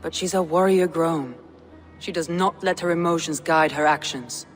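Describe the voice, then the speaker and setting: An actor playing a calm Viking clan leader who speaks in a measured, thoughtful way, in game audio. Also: male voice, on the high side, long reverb tail, faint music behind, close to an everyday voice. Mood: composed.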